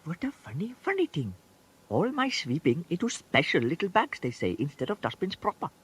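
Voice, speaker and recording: An actor playing a naive, excitable Indian passage sweeper with an Indian accent, musing to himself and repeating words in radio comedy style. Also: male voice, fairly high pitch, brisk, slightly rough, full character voice. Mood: bemused, quietly excited.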